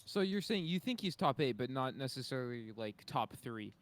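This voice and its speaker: A man's voice: medium pitch, big pitch swings, moderate pace. A man on a podcast panel, chatting casually in a loose, slangy, conversational way. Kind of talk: casual chat.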